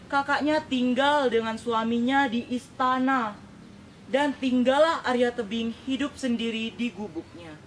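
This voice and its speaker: A young woman tells a rehearsed folktale in a deliberate, dramatic storytelling style, phrase by phrase. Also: fairly high pitch, slight background noise, rough recording. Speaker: storytelling contestant.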